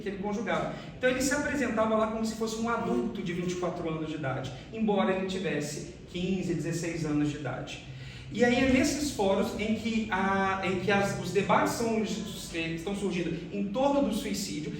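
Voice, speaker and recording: A Brazilian man giving an academic presentation as a researcher, explaining in a halting way with restarted phrases. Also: sits high. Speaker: researcher presenting a case study. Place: reverberant room, recorded from a distance. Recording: very echoey room, distant mic, faint noise.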